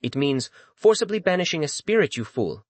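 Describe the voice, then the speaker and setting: A man's voice: fairly high pitch, big pitch swings, fairly quick, dry recording, pitched above the performer's own voice. A man voicing an irritable female spirit in a dramatized audiobook, snappish and contemptuous. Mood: scornful.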